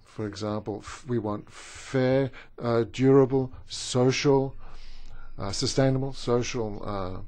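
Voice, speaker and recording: A man with a strong Austrian German accent, whose first language is German, speaks at a conference for his trade union. He talks haltingly, stopping and restarting often, at an ordinary middle pitch. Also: fairly even intonation, a little mellow. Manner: halting, with many fillers and restarts. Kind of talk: conference speech.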